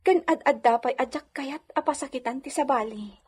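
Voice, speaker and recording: A woman's voice: sits high, moderate pace, a little mellow, slightly rough. A woman acting a meek, devoted young wife in an Ilocano radio drama, with an Ilocano accent. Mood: hurt, gently pleading.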